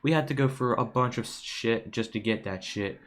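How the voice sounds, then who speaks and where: A man's voice: mid-range voice, moderate pace. A young man with an American accent gives casual, rambling, offhand commentary to his viewers. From United States. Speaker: video creator. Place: room at home.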